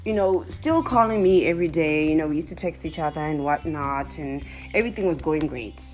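A young woman from Zimbabwe with a Zimbabwean accent, telling comic stories to her viewers in a casual, animated way with exclamations. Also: deep voice, a little fast, soft background music. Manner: animated, exclaiming, laughing often.

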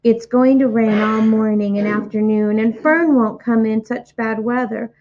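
A woman's voice: a little high, steady tone, measured pace. Mopey whiny young pig voiced by a woman, mournful, dull lo-fi audio. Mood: gloomy. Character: gloomy lonely young male pig.

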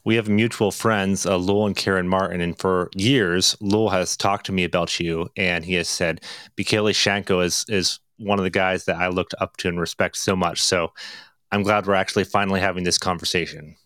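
Deep-voiced podcast host, dry close sound. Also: male voice, steady tone, brisk, a bit bright, smooth, no room sound. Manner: earnest, upbeat, leans on "you know" and "um". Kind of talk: podcast interview hosting.

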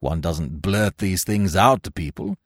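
A man voicing a stiff, earnest young gentleman, pompous and easily irritated, in a storybook reading. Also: sits low, measured pace, dry recording, studio quality.

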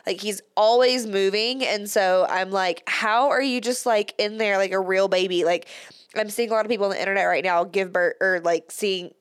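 A woman's voice: medium pitch, moderate pace, clean tone, no echo, studio quality. Chatty congested woman podcast host. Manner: chatty, says "like" often, laughs between words. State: slightly congested from a cold. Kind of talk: casual solo podcast chat.

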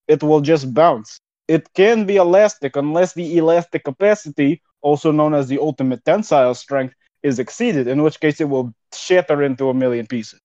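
A man co-hosting a comedy podcast, delivering rambling mock-solemn speeches in a deadpan way. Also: fairly high pitch, no echo, dull audio. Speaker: podcast co-host. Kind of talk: improvised comedy banter.

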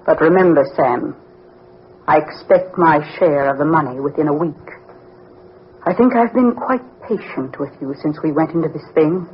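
Cold, grasping female nurse played by an actress, low for a woman, vintage radio thriller, muffled with faint hiss. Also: moderate pace, close to an everyday voice. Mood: cool, demanding. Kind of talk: old-time radio drama acting. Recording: dull, noisy vintage radio recording.

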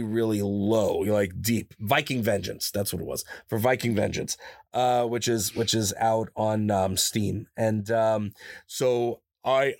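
A man chatting casually in an interview as a voice actor, telling stories in a rambling, animated way and often repeating himself. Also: creaky, no echo. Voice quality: higher-pitched natural voice. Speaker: voice actor guest. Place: his own studio. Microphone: studio microphone, close.

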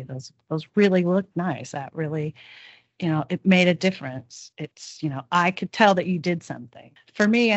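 A woman's voice: deep voice, dry recording. A middle-aged woman, a podcast guest, sharing personal anecdotes in an earnest, rambling way. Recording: dry, dull podcast audio.

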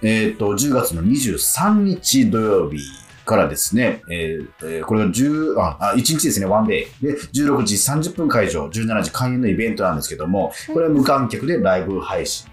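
A man hosting a casual radio talk show, speaking in an animated, halting way with playful incredulity. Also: fairly low pitch, roomy sound, soft background music. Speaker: radio show host and actor.

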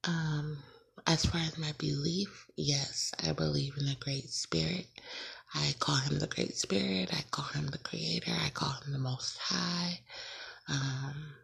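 A woman's voice: low-pitched, steady tone, a little slow, creaky, fairly bright tone, slightly rough. A woman, hosting her own casual podcast and rambling, often correcting herself and laughing at her slips. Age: late 20s. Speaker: podcast host. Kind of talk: casual solo podcast intro.

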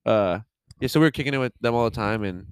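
A man's voice: lowish voice, fast talker, a little hiss or hum. A young man co-hosting a casual podcast, rambling with lots of fillers, laughing and swearing. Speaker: podcast co-host. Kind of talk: casual chat.

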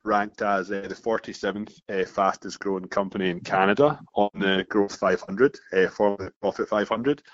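A Scottish man in his thirties, a company founder talking casually as a guest in an interview, explaining things in a steady flow and often restarting phrases. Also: lowish voice, moderate pace, few pauses, no room sound. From Scotland. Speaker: founder of a marketing agency, guest. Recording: dull muffled dry recording.

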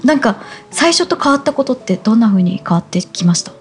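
A woman's voice: on the high side, big pitch swings, quick pace, slight background noise. A woman, a voice actress, chatting casually and quoting her own reactions as she recalls recording sessions. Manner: chatty, laughing, quotes herself. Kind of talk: casual chat.

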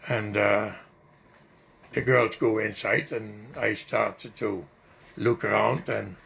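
An older man with a heavily gravelly, creaky voice tells a long memory in a relaxed, rambling way, full of small fillers. He speaks English as a second language. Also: fairly low pitch. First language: not English. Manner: rambling, vivid recollection with fillers. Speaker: interviewee. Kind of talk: oral history storytelling.

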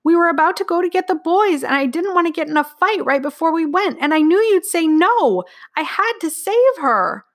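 An earnest young girl, as a woman narrator puts her on, pitched high for a children's audiobook. She is strong-willed and emotional, pleading her case with urgency. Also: monotone, very slow, pauses often, bright, forceful tone, exaggerated voice. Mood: tearful, desperate.

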